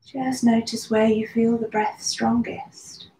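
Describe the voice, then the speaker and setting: A woman leading a guided meditation, speaking slowly and soothingly with long pauses. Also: a little high, little pitch movement, dark tone. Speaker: meditation and yoga teacher. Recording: roomy echo with faint background noise.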